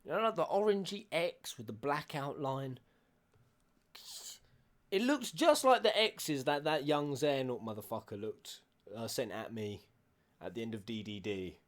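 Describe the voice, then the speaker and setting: A man's voice: mid-range voice, lively intonation, normal speed. A man doing casual live reaction commentary as a game streamer, jokey, sweary and prone to exclaiming.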